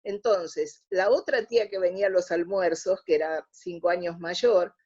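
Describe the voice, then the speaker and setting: A woman with an Argentine accent, speaking as an interview guest, telling anecdotes in a halting, reflective way. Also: on the low side, warm tone, breathy edge. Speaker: interview guest, radio theatre historian. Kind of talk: conversational interview, anecdotal storytelling. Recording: dull lo-fi audio.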